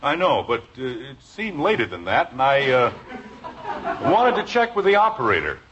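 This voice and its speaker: A man's voice: medium pitch, wildly swooping pitch, moderate pace. An actor playing a flustered, evasive middle-aged husband and father in a 1950s radio sitcom, hesitant and exasperated. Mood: evasive, nervous. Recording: noisy, muffled old radio show recording.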